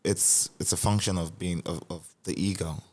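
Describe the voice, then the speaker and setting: A man's voice: low-pitched, fairly even intonation, moderate pace, a little mellow, breathy edge. A man hosting a podcast, asking thoughtful, probing questions in a casual interview, sometimes stumbling over his words. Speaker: podcast host.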